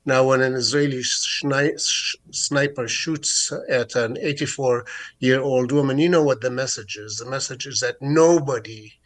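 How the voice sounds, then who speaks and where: A man's voice: mid-range voice, a little slow. A middle-aged man from Jerusalem, a guest on a radio interview, speaking with many fillers and restarts. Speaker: guest activist.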